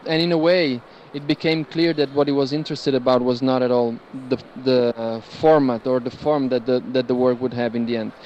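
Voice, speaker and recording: A man's voice: mid-range voice, darkish tone, dull audio. A man with a mid-range voice speaks as a curator leading an informal tour. He explains artworks to visitors in a conversational way. There is faint noise from a busy space behind him. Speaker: curator guiding visitors through an art fair. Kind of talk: informal guided art tour talk. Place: large indoor art fair among gallery stands. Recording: noisy fair hall ambience behind voice. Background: busy fair hall background noise.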